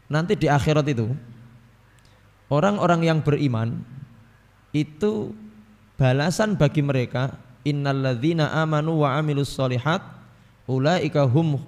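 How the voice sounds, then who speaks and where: A man with a Javanese accent giving a religious study lecture, reading Arabic text and explaining it in a relaxed, chatty way to his audience, often clearing his throat. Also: a little high, long reverb tail. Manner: mixes Arabic recitation with casual explanation, addresses audience as Bapak Ibu. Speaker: ustadz teaching a hadith book.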